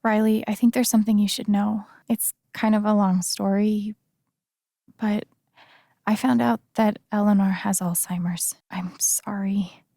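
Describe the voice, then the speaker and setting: A woman's voice: medium pitch, fairly even intonation, unhurried, gravelly, dark tone, dry recording, studio quality. An actress playing an earnest, caring and determined young woman in naturalistic, conversational video game dialogue. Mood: gentle, serious. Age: young adult.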